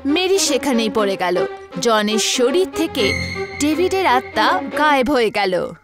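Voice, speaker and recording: A woman's voice: sits high, very animated, measured pace, music in the background. A woman narrating a scripted horror story in a dramatic style.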